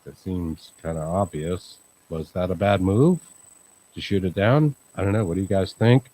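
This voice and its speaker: Calm low-voiced man hosting. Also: moderate pace, slight background noise, rough recording. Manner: calm, rambling, many fillers. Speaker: host. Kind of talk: casual livestream chat.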